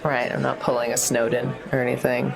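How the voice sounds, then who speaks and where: Gravelly guy thinking out loud. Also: medium pitch, level tone, brisk, a crowd in the background, poor audio. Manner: laughs often, thinks aloud. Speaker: player. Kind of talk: casual tabletop play.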